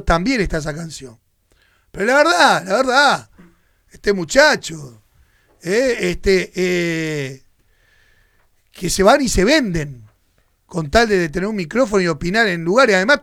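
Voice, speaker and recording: A man's voice: sits high, expressive, moderate pace, fairly bright tone, dry recording, studio quality. A Uruguayan man with a Uruguayan Spanish accent hosting a radio sports show, giving heated, indignant opinion commentary. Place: radio studio.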